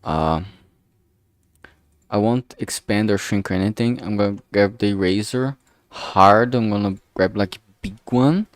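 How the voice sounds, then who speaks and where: A man's voice: on the low side, unhurried, no echo, studio quality. A man casually talking through his digital art work on a livestream, murmuring each step as he goes. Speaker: streamer making a visual novel. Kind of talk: casual livestream commentary while drawing.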